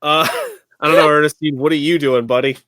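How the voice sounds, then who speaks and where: A man's voice: on the high side, steady tone, brisk, pushed and bright, no echo. A man playing a tabletop game, chatting and joking in a slangy, mock-exasperated way. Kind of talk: casual joking game chat.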